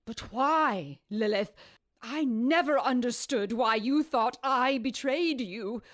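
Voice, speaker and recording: A cursed snake-woman monster in an audio drama. Her voice is fairly high and breathy, with hissing emphasis. She speaks slowly, in solemn, formal phrases full of old anguish. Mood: wounded, accusing.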